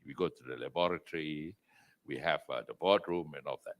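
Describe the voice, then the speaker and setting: An older man with a Malaysian accent talking as an interview guest, loud and emphatic, dropping in Malay words and leaping to high exclamations. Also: a little fast. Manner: mixes in Malay words and phrases. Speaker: entrepreneur and executive chairman, interview guest. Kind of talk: interview over video call.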